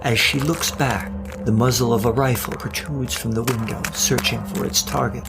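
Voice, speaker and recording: A man's voice: medium pitch, moderate pace, vocal fry. Man narrating a wry thriller over music. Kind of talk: scripted audio drama narration.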